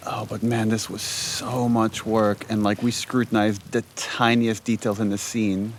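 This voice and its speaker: Low-pitched man giving casual commentary. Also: level tone, unhurried, a little hiss or hum. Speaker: game director.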